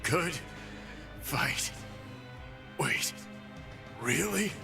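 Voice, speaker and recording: A man's voice: a little high, lively intonation, extremely slow, vocal fry, over music, exaggerated voice. An actor playing a cocky, tough male pit boss, voice lines for a video game with streetwise trash talk. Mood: cocky taunting.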